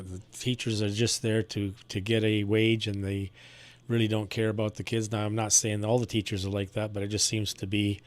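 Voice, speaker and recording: A man with a low voice chats casually on ham radio. His delivery is flat and halting, with a grumbling edge. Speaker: amateur radio operator.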